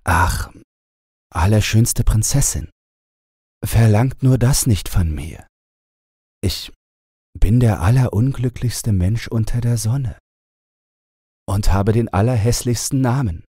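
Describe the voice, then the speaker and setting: A man's voice: on the low side, slow, pauses often, dark tone, husky, no echo, studio quality, pitched above the performer's own voice. A man voicing a timid, sad, unlucky young man in a fairy tale, hesitant, plaintive and polite. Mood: miserable.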